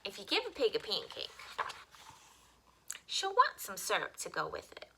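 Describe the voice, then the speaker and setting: A woman reading picture books aloud to young children as a story time host, with expressive, simple phrasing. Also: medium pitch, normal speed, gravelly, noisy background.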